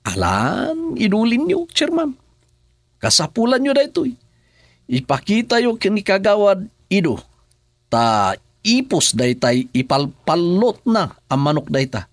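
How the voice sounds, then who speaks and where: An eager, clownish village watchman in an Ilocano comedy radio serial. His pitch leaps wildly up and down while the pace stays slow and exaggerated. He has an Ilocano Filipino accent. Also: no echo, studio quality, exaggerated voice. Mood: clownish, insistent. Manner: exaggerated, swooping, excitable.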